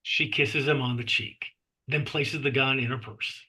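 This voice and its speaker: Bright, husky man narrating a screenplay. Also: mid-range voice, a little slow. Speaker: narrator reading stage directions.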